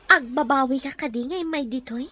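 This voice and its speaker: Actress as a high-pitched grumbling woman. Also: normal speed, pushed and bright. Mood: complaining. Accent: Ilocano. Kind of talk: Ilocano radio drama acting.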